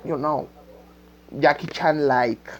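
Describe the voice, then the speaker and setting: Slow, halting woman with a Spanish-speaking accent. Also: sits low, fairly even intonation. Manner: halting, searching for words, repeating phrases. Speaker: amateur game creator. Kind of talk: unscripted rambling vlog talk. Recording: dull lo-fi microphone sound.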